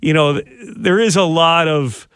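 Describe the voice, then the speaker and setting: Man, radio host, chatty banter, slightly high voice, dry studio sound. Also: moderate pace, a bit bright, smooth, dry recording.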